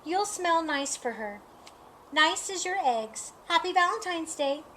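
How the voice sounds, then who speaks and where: A woman puts on a friendly, nosy lady skunk for a storybook. She sounds high and bright, sweetly insistent, with birds chirping in the background. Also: lively intonation, measured pace, a little hiss or hum, heavily acted. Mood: chatty, insistent. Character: friendly, nosy female skunk with perfume cart.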